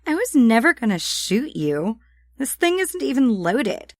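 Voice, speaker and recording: A woman's voice: a little high, very animated, unhurried, dry recording, clean studio sound, pitched above the performer's own voice, full character voice. A woman voicing a brash, flippant, habitually lying woman with a blunt, emphatic manner in an audiobook. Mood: dismissive, flippant. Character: brash, indignant gun-waving woman, a habitual liar.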